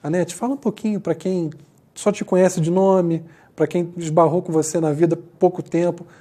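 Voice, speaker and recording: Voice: mid-range voice, fairly even intonation, normal speed, dark tone. A TV interviewer asking chatty, admiring questions of a guest.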